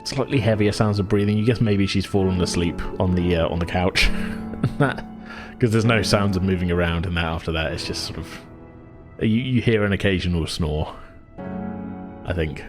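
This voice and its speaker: A man's voice: low-pitched, moderate pace, music in the background. A man narrating a tabletop roleplaying game as game master, casual, chuckling and rambling.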